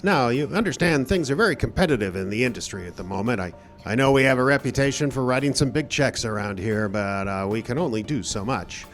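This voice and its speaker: An actor playing a businesslike, hedging studio executive in a live radio play. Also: male voice, mid-range voice, lively intonation, brisk, fairly bright tone, faint music behind. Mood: impressed, businesslike. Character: smooth-talking studio production executive, impressed but cagey. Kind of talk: live old-time radio style acting.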